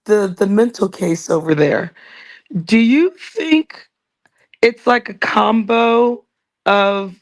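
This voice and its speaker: A woman calling into a casual chat, talking hesitantly with many fillers. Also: mid-range voice. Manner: hesitant, filler-heavy talk.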